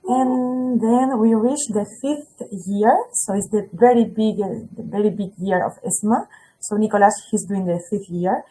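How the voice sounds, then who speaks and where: A woman's voice: medium pitch, unhurried, dark tone, a little hiss or hum, rough recording. A woman who speaks as a presents a school webinar on a video call in English, hesitating often with fillers.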